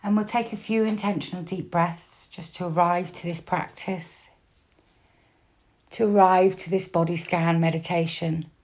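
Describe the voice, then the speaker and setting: Low-voiced woman guiding meditation, slightly muffled. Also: steady tone. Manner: slow, soft, unhurried with long pauses. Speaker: meditation guide. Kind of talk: guided body scan meditation, gentle instruction.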